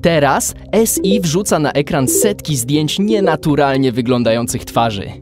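Higher-voiced man narrating a radio play. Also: animated, moderate pace, loud music behind the voice.

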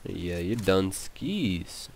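A young man commenting casually on his gameplay, wry and talking to himself, his voice going hoarse. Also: low-pitched, sing-song, very slow, a little hiss or hum. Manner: laid-back, wry, talks to himself while playing. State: losing his voice. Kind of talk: casual let's play commentary.

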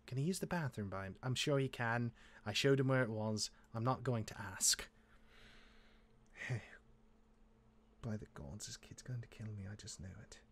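A man playing a gruff, jaded demigod older brother in a Minecraft roleplay, reluctantly caring, with a halting, exasperated delivery. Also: medium pitch, a bit bright, natural voice. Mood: weary muttering.

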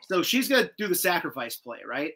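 Bright-voiced man in slangy podcast chat. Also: on the high side, fairly quick. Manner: animated retelling, slangy, profane. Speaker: host. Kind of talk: casual chat recapping a cartoon episode. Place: indoor room with shelves of collectibles, on a video call. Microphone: video call.

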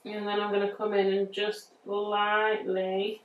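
A woman in her thirties with a northern English accent talks slowly and casually through a nail tutorial, musing aloud as she works. Her voice sounds roomy, picked up from a little way off the mic in a bright indoor studio. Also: mid-range voice, monotone, distant mic, phone-quality sound. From England. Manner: chatty, easygoing, thinking aloud. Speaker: nail artist demonstrating a design. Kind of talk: casual step-by-step tutorial talk.

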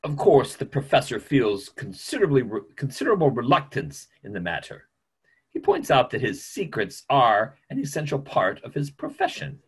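Man playing a careworn doctor with husky, swooping speech. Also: medium pitch, wildly swooping pitch, full character voice. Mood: formal. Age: middle-aged. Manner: measured, formal, hesitant phrasing. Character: careworn, formal, evasive male family doctor. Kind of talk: online play reading. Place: small room at home, video call.

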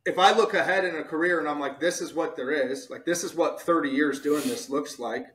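A man's voice: fairly high pitch, fairly quick, a bit bright, rough recording. Earnest, emphatic man hosting a podcast. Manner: earnest, emphatic, repeats points, slangy with "bro" and "dude". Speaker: podcast host. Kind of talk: casual motivational podcast talk.

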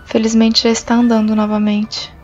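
A woman acts an earnest, hopeful patrol member in a sci-fi audio drama. Her delivery is measured and very even in pitch, slightly dulled by the recording, over faint music. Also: muffled recording, natural voice. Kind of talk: science-fiction audio drama acting.

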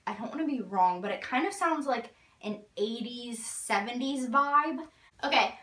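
A woman's voice: medium pitch, bright, forceful tone. A teenage girl gushing through a casual album review in her bedroom, full of "like", filmed by a camera a few feet away. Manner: gushing, filler-heavy with frequent "like". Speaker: vlogger. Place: bedroom, indoors. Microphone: fixed camera a few feet away. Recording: roomy, slightly distant.

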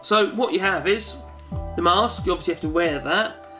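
A man's voice: sits high, moderate pace, bright, forceful tone, soft background music. A wheezy man in his forties, rambling through a casual how-to demonstration in his home study. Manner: rambling, chatty, self-correcting. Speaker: home video maker. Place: cluttered home study, indoors.

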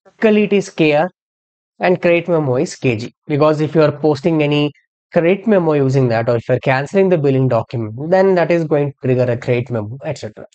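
A software instructor narrating a step-by-step tutorial in an explanatory way, posing questions and then answering them. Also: mid-range voice, expressive, quick pace, highs cut off. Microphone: screen-recording voice-over.

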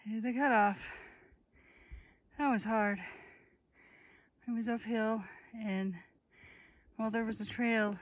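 A woman's voice: on the high side, steady tone, takes it slowly, pauses often, no room sound. Chatty middle-aged woman vlogging by phone. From Minnesota. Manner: chatty, rambling, self-amused. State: out of breath at altitude. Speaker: travel vlogger. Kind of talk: casual vlog to camera. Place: in a car, then outdoors on snowy ski trails. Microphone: phone held at arm's length.

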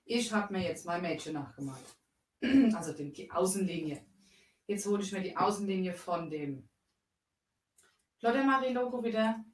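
A woman in her thirties, from Bavaria, chatting her way through a live software tutorial from a craft room at home. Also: medium pitch, lively intonation, moderate pace, pauses often. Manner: chatty, explains steps while working. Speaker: crafting livestream host. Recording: roomy echo, voice a bit far off.

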